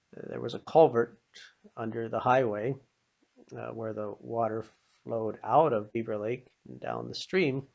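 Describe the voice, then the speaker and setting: An older man telling personal anecdotes in an informal, folksy, halting way. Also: mid-range voice, creaky. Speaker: storyteller. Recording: dull, lo-fi sound.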